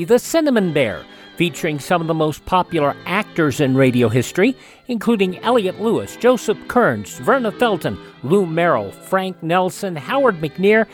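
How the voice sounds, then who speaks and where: A man hosting a classic radio show with scripted introductions and promos. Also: high voice.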